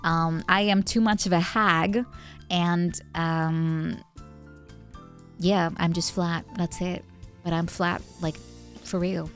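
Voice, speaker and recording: A woman's voice: on the low side, fairly even intonation, slow pace, long pauses. A young woman streaming, chatting casually with viewers. She laughs often, thinks aloud with fillers, and is tipsy from wine. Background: faint background music.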